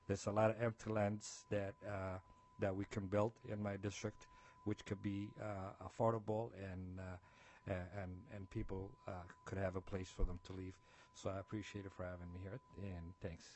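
Man, legislator, hesitant, halting speech, low flat voice. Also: slight background noise. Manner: halting, hesitant, many fillers. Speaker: state representative. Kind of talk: committee remarks.

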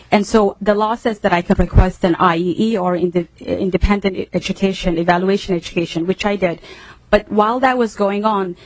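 A woman's voice: mid-range voice, no echo, rough recording. A woman testifying at a public hearing, reading a statement and recounting her own experience as a parent. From Somalia.

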